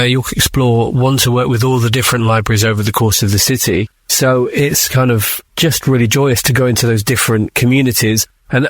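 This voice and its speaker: A man's voice: mid-range voice, no room sound. A man who works as a writer, answering interview questions conversationally and explaining creative work.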